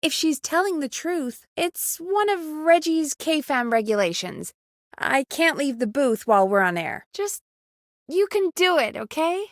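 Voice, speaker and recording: A young woman who produces a small-town radio show in a video game. Her voice sits fairly high, and she talks in an earnest, conversational and expressive way with an anxious edge. The recording is dry and studio-clean. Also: measured pace, dry recording. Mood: worried, urgent. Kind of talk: naturalistic video game voice acting.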